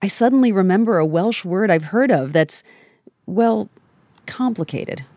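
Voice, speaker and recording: An American woman giving scripted travel narration in a calm, reflective storytelling manner. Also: medium pitch, unhurried, no room sound, studio quality. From United States.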